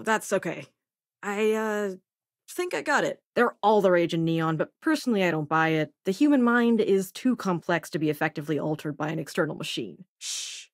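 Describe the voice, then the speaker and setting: A woman's voice: medium pitch, no room sound, clean studio sound. A woman voicing a sardonic, self-assured young female crewmate and researcher in a video game, with a casual, wry delivery.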